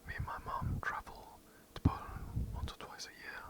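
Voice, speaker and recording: A young adult with an English accent, whispering a casual, rambling history overview for ASMR. The talk is loose and self-mocking, with swearing and asides. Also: normal speed. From England. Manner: casual, rambling, swears, giggles at own slips. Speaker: ASMR creator. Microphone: poor quality home mic.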